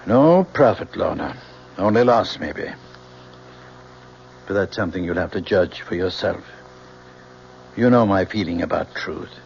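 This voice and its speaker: Stern American father, low gravelly voice, measured and moralizing, vintage radio drama. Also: lively intonation, a little mellow, exaggerated voice. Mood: grave, disapproving. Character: stern, principled father of a grown daughter. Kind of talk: 1970s radio drama acting. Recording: muffled vintage radio broadcast with faint hiss.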